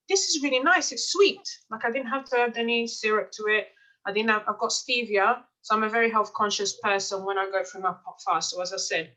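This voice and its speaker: A bright, clear woman tells her stories in a relaxed, chatty way. She often restarts her sentences and fills pauses with "um" and "uh." Her pitch sits in the middle of the range. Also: dull audio. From Mozambique. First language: Portuguese. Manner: chatty storytelling, frequent fillers and restarts. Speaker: life coach and client. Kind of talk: testimonial interview, casual.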